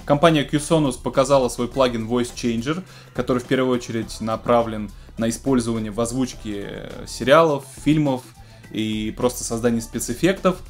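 Male host, thirties, mid-pitched, conversational explainer of music tech news, music underneath. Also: moderate pace. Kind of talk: casual news presenting to camera. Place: small home music studio, indoors.

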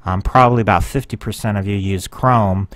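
Rambling, low-voiced man with frequent ums. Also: fairly quick, fairly bright tone, no echo. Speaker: website owner giving a tour. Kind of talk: casual screen-share walkthrough.

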